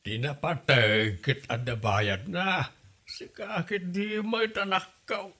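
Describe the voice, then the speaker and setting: A man's voice: on the high side, slow pace, bright, forceful tone, heavily acted. A man playing a placid older father in an audio comedy drama, speaking with an Ilocano Filipino accent. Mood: placating. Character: placid older father, excusing his son.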